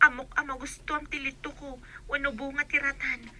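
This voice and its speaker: Lady playing anxious wary young ilocano village girl, high pitched, radio drama. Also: little pitch movement, fairly quick, sharp tone, dry recording. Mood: pleading.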